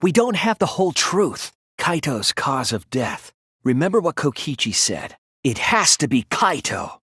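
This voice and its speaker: Casting: a thoughtful teen boy sleuth for a game dub; earnest, deliberate, slightly breathy, very dry close sound. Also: fairly high pitch, big pitch swings, sharp tone, no echo, heavily acted. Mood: urgent, alarmed. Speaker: video game voice actor. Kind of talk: English video game dub, dramatic deduction dialogue.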